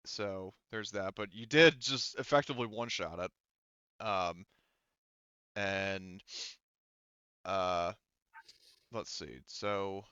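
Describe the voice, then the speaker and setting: A man's voice: lowish voice, fairly bright tone, clean tone, no echo, muffled recording. A man working as game master, narrating a tabletop roleplaying game casually and profanely, thinking aloud as he works through the rules.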